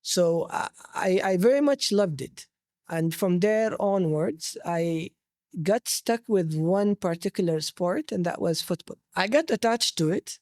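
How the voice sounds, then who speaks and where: A man with a Saudi Arabic accent, telling his personal story conversationally as an interview guest, pausing to search for words. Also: high voice, darkish tone, no room sound, studio quality. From Jeddah, Saudi Arabia.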